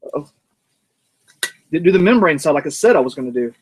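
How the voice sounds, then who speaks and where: A man's voice: a little high, lively intonation, fairly quick, slight background noise. A man hosting a casual outdoor grilling vlog, rambling and joking as he cooks. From North Georgia, USA. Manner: rambling, jokey, talks while working the grill. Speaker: cooking show host.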